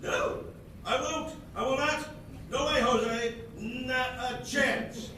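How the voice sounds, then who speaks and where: A man's voice: extremely high pitch, steady tone, slow, bright, forceful tone, full character voice. A man playing a grumpy, old-fashioned grandfather in an amateur readers theatre, blustering with emphatic exclamations. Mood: indignant, stern. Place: senior center hall, live performance. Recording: echoey hall, distant mic, noisy room.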